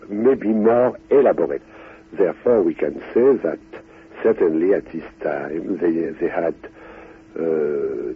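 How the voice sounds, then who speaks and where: Very husky, slow Frenchman on old radio. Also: sits low. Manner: halting, deliberate, in short phrases. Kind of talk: unscripted expository talk. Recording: tinny, band-limited radio recording.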